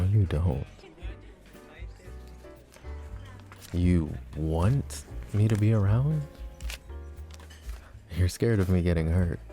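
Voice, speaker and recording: Casting: a man to play a scheming, possessive yandere mafia boss for ASMR roleplay; dark, relaxed low voice, smooth and teasing. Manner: smooth, teasing, mock-polite. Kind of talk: intimate ASMR roleplay acting.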